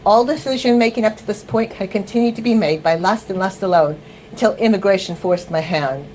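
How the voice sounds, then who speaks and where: A woman in her fifties reading her own memoir piece, wry and deliberate, speaking straight to the listener. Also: mid-range voice, darkish tone, slight background noise, low-quality recording. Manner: wry, deliberate delivery addressing the listener directly. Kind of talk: first-person memoir reading.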